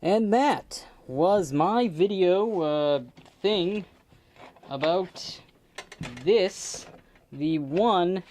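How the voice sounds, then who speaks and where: A man giving a casual, off-the-cuff demonstration as a YouTuber, talking haltingly in short phrases. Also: sits high, animated, a little mellow. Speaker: YouTuber demonstrating a vintage CB radio.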